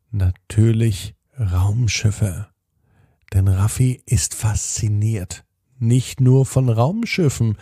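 A man hosting a children's bedtime podcast, narrating a story in a soft, slow, soothing way and speaking directly to the children. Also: mid-range voice, gravelly, slightly rough, no echo, clean studio sound.

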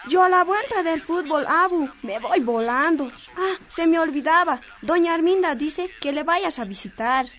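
A woman playing an expressive, emotional teenage Bolivian schoolgirl in a radio drama. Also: very high voice, moderate pace. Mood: hurried, eager. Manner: youthful, expressive.

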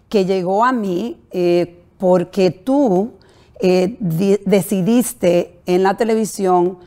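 A middle-aged woman hosting a talk show, speaking deliberately. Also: medium pitch, a little mellow. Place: talk show studio set.

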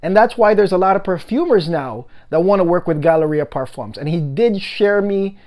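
A young man chatting casually to the camera in a perfume review video, animated and talkative, often adding "guys" and "okay?". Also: high-pitched, normal speed. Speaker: fragrance reviewer. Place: home room, indoors.

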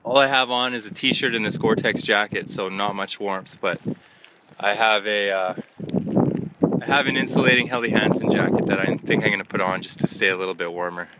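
A man's voice: lowish voice, steady tone, brisk. A young man casually narrating a hiking vlog outdoors into a handheld phone. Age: young adult. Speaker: hiking vlogger. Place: outdoors on a wet, windy mountain trail. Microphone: handheld phone at arm's length. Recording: windy, noisy handheld phone recording outdoors. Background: loud wind and rain noise.

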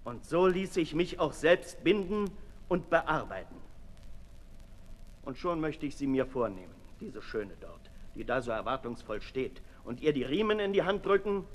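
An actor playing a brooding, disillusioned aristocrat in a 1960s German radio play, declaiming deliberately, from hushed to loud. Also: male voice, fairly high pitch, very animated. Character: Marquis de Sade, brooding disillusioned aristocrat, intense and self-lacerating. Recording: 1960s radio recording in reverberant hall.

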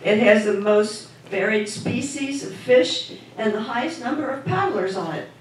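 A woman's voice: fairly high pitch, expressive, normal speed, slight background noise. An older woman from Northern Kentucky, speaking at a meeting for the first time in a friendly, rambling way. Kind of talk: informal remarks at a meeting. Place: large meeting hall indoors. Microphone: handheld mic, recorded from far camera. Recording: echoey hall from far off.

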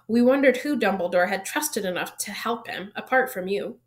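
A woman reading the part of a bright, sensible schoolgirl with an earnest, persuasive manner in a storybook. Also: mid-range voice, moderate pace, natural voice. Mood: coaxing, flattering. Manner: warm, coaxing, earnest. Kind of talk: audiobook character reading.

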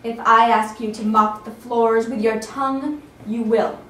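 Stern commanding woman, acted by a woman, imperious, higher pitch, audiobook read-through, echoey room. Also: steady tone, fairly bright tone. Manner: imperious, deliberate. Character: stern commanding woman ordering an underling around. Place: meeting room around a table. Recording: roomy rehearsal room.

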